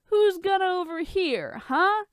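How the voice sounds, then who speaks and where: A woman's voice: very high-pitched, expressive, unhurried, dry recording, studio quality, voice pushed up. A woman voicing a tipsy, brash male billionaire in a fan fiction reading, teasing and mock-dramatic.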